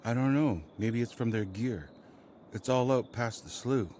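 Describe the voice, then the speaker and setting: A man's voice: mid-range voice, measured pace, darkish tone, slight background noise, close to an everyday voice. A man playing a sardonic, evasive, pragmatic trader in a post-apocalyptic audio drama. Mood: persuading, reluctant.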